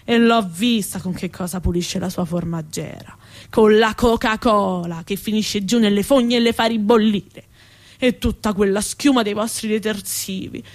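A woman's voice: mid-range voice, fairly even intonation, moderate pace, close to an everyday voice. A woman performing a comic theatrical monologue as an indignant, sarcastic, foul-mouthed female rat who sees herself as a proper lady. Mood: furious, scolding. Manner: ranting, sarcastic, emphatic.

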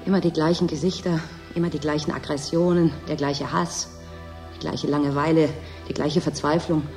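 Bored needy young woman in a radio play, weary and resigned, middling low voice, music behind. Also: fairly even intonation, normal speed, a little mellow. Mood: bitter, pleading. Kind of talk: German radio drama acting.

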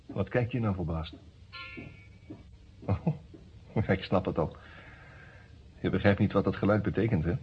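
A man's voice: deep voice, measured pace, long pauses, noisy background. An actor in a radio play voicing a smug, worldly-wise lawyer in prison, telling his story in a chatty, wry way. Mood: wry, confiding. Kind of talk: Dutch radio drama acting, conversational storytelling. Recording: dull, muffled old radio recording.

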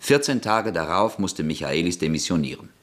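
Deep husky guy narrating an old radio play. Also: expressive, normal speed. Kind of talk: scripted radio drama narration.

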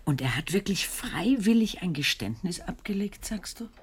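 Husky, animated, probing companion. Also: female voice, fairly low pitch, normal speed, exaggerated voice. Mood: dry, curious. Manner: casual, gently probing. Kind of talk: German radio drama acting.

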